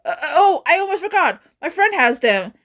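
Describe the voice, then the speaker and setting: A woman's voice: very high-pitched, sing-song, moderate pace, fairly bright tone. A woman acting out scripted fantasy game dialogue as an amateur voice actor. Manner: acted, reading scripted lines.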